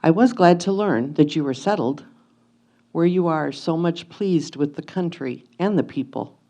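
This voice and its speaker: Female actor as anxious, inquisitive pioneer wife, warm dark tone, deliberate letter reading for reader's theatre. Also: low voice. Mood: concerned, affectionate. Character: anxious, curious 1850s wife writing to her absent husband.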